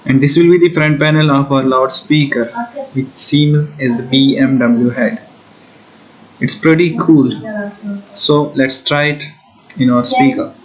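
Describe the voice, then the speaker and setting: A man's voice: mid-range voice, fairly even intonation, measured pace, low-quality recording. A man narrating a hands-on tutorial casually, explaining and thinking aloud as he works. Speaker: host of a DIY electronics build video.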